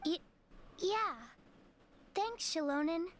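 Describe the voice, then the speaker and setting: Faltering, very high little girl. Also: slow pace, slight background noise. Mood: meek. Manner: faltering, stammering. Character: timid, sickly little girl, meek and hesitant. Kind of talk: animated video game voice acting.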